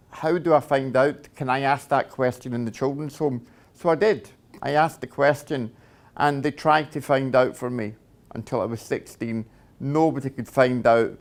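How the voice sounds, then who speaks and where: A middle-aged man with a Scottish accent tells his own life story to an audience in a plain, direct way, with many "uh" fillers and "okay?" check-ins. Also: medium pitch. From Scotland. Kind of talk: personal testimony talk.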